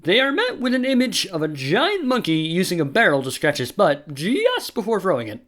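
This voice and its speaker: A man's voice: high-pitched, wildly swooping pitch, moderate pace, fairly bright tone. A man giving theatrical, dramatic narration of a scripted comic audio play.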